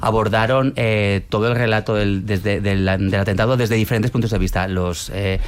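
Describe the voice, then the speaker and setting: A man's voice: fairly low pitch, steady tone, moderate pace, clear, resonant tone. A film director from Navarre, fluently explaining his work in a radio interview. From Navarre, Spain. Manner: fluent, explanatory, many fillers.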